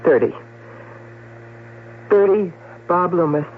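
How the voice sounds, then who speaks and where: A woman's voice: fairly low pitch, takes it slowly, slightly rough. An actress playing a shrewd, self-possessed middle-aged newspaperwoman in a radio drama, speaking emphatically and articulately. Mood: solemn, eulogizing. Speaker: actor. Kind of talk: 1970s radio drama acting. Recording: narrowband old radio broadcast with hum.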